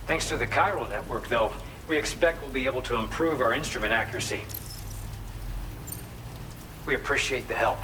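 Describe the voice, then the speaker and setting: A man's voice: medium pitch, recorded from a distance, noisy background, natural voice. A man playing an earnest, friendly researcher in a video game, explaining things in a chatty way. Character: earnest male researcher at a remote observatory, friendly.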